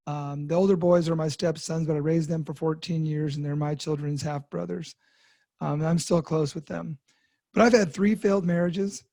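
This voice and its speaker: A man in his fifties speaks in a candid personal monologue, stopping and restarting often as he searches for words. His voice is mellow and sits a little high for a man. The recording is dry and close. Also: no room sound. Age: 50s. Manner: halting, with many fillers and restarts. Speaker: podcast host sharing recovery story.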